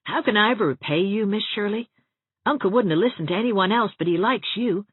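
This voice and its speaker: A woman's voice: medium pitch, animated, fairly quick, husky, dry recording, phone-quality sound, pitched above the performer's own voice. A woman reading a storybook as an earnest, grateful teenage schoolboy, eager and sincere.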